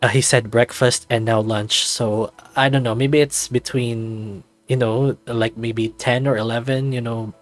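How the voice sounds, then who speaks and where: A man's voice: medium pitch, steady tone, measured pace, hardly any pauses. A man reading a visual novel as a narrator, with casual, musing asides. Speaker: visual novel reader and commentator.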